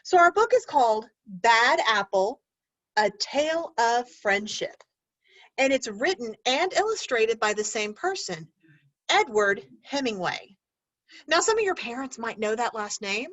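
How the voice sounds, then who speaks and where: A woman teacher reading a picture book aloud to children, pausing to explain words and ask gentle questions. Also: on the high side, big pitch swings, no echo, low-quality recording.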